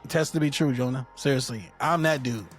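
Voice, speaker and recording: A man's voice: mid-range voice, a little fast, soft background music. A man co-hosting a podcast in casual chat, laid-back and full of slang, laughing often. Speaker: podcast co-host.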